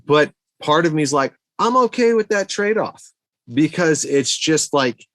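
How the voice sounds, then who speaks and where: A man's voice: on the high side, animated, measured pace, fairly bright tone, no echo. A middle-aged man co-hosting a podcast, talking through film opinions casually and thinking aloud, often repeating words as he goes. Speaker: podcast co-host reviewing movies.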